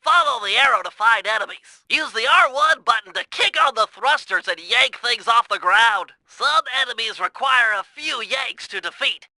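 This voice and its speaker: A man's voice: very high-pitched, lively intonation, normal speed, hardly any pauses, bright, forceful tone, no room sound, heavily acted, low-quality recording. A man voicing a brainy, cautious young turtle strategist in a cartoon video game, with a thin, nerdy voice and precise, wordy, instructional delivery. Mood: precise, instructive. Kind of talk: video game mission briefing and tutorial voice acting.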